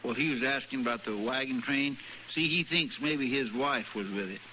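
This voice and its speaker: Actor as folksy, drawling western deputy, muffled recording. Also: male voice, mid-range voice, normal speed, pushed and bright, lightly acted. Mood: sheepish, fretful. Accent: American rural western drawl. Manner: hesitant, rambling, folksy. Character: folksy, deferential, fretful marshal's deputy. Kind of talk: 1950s radio western acting. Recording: muffled, noisy old radio recording.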